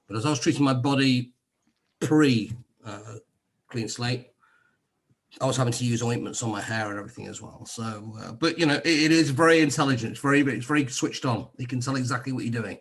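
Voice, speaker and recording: An English man talks through his story in a loose, rambling way, dropping dry jokes and restarting his sentences. His voice is husky and a little breathy, with a middling pitch. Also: fairly quick. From England. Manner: rambling, wry, restarts often. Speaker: guest sharing a health testimonial. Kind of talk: casual video-call conversation. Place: lives in Cyprus.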